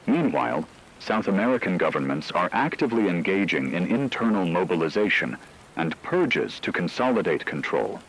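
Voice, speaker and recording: Deep-voiced solemn actor as a male radio anchor. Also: fairly bright tone, breathy edge, heavily acted, poor audio. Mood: grave, measured. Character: grave male radio news anchor in wartime. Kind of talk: scripted emergency news broadcast.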